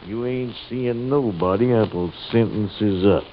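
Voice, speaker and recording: An actor playing a gloating, crooked Old West jailer with a folksy American Western drawl, mock-polite and menacing, in radio western style. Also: male voice, fairly low pitch, measured pace, natural voice. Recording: muffled, noisy old radio recording.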